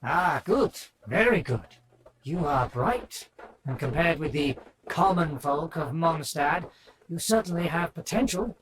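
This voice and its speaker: Pompous high-pitched nobleman. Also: expressive, unhurried, crowd noise. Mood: condescending approval. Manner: pompous, formal, archaic wording. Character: pompous, haughty aristocratic nobleman, easily flattered. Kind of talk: scripted video game character acting.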